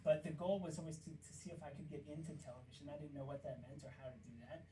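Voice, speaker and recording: A man from Kansas with a squeaky voice, a puppeteer guest chatting casually and acting out stories. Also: quick pace, recorded from a distance, noisy background. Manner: animated storytelling, acts out quotes. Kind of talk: casual interview chat.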